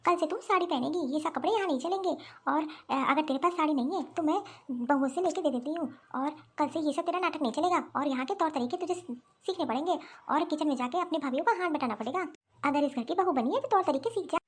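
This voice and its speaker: Strict, nagging saas for family cartoon female actor; very high pitch, fast insistent scolding. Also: natural voice. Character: strict, nagging mother-in-law of a traditional household. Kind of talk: Hindi family-drama cartoon voice acting.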